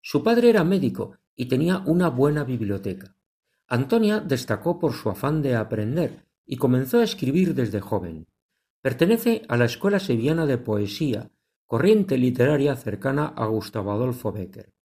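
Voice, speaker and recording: Guy hosting a church radio show, voice goes up and down a lot, calm and careful. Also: mid-range voice, slightly rough. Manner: measured, devout announcer delivery. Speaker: radio program host. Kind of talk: religious radio presenting.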